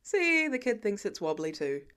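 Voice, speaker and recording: A woman's voice: mid-range voice, very animated, moderate pace, fairly bright tone. Woman reading as a dry, fussing protective husband. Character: protective, bantering husband and father, adult man.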